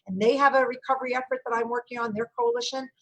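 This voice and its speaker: A woman's voice: a little high, fairly even intonation, quick pace, low-quality recording. A woman from Brooklyn with a New York accent, an event company owner, speaking emphatically on a panel call. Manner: big, emphatic voice. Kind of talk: panel discussion on a video call. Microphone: video call.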